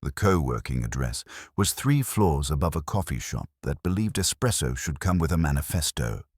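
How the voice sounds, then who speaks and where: A man's voice: very deep voice, sing-song, moderate pace, breathy edge, no room sound. A man reading a scripted first-person detective story in a wry, dry, hard-boiled way. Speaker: narrator.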